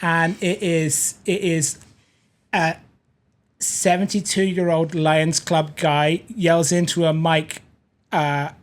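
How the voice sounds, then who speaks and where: A man's voice: on the high side, flat delivery, slow. A British-accented man, a podcast producer, chatting casually with hesitant, self-deprecating restarts. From Europe. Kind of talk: casual chat.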